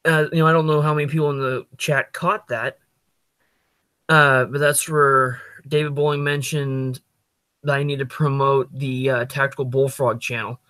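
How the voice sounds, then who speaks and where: A man's voice: mid-range voice, flat delivery, normal speed, bright, forceful tone, no room sound. A man hosting a casual livestream, talking off the cuff and thinking aloud as he goes. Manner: off-the-cuff, thinking aloud while reading chat. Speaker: livestream host.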